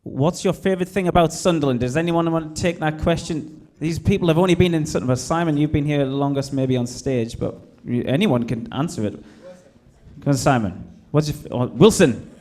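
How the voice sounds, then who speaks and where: A man's voice: a little high, a little fast, a little mellow. A man hosting a live stage Q&A with footballers, jokey and teasing. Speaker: event host.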